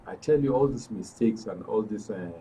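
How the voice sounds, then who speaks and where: A man's voice: lowish voice, normal speed, warm tone. A man with a Nigerian accent giving political commentary as a guest, repeating phrases for emphasis. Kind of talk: political discussion. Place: radio and TV studio. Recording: distant mic, faint background noise.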